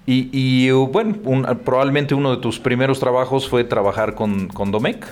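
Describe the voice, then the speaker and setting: Male host, mid-pitched, easygoing. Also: fairly even intonation, moderate pace, darkish tone. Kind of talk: interview.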